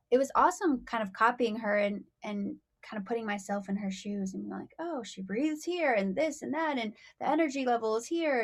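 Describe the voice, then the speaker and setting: A young woman, a rapper and songwriter, talking casually as an interview guest, bubbly and full of "like" and "you know.". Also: medium pitch.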